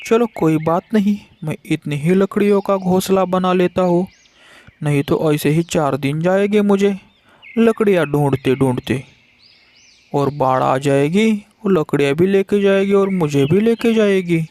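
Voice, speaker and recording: A man's voice: high-pitched, a little slow, a little mellow. A man voicing a hapless male crow who grumbles aloud to himself in a children's cartoon. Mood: grumbling. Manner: exclaiming, complaining aloud to himself. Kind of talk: Hindi children's cartoon voice acting.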